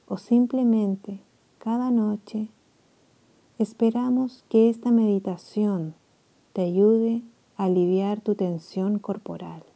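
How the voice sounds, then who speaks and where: A woman leads a body-scan meditation for sleep. Her voice is deeply mellow and warm, and she moves very slowly, letting phrases hang with long gentle pauses. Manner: slow, soft, soothing with long pauses. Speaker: meditation guide.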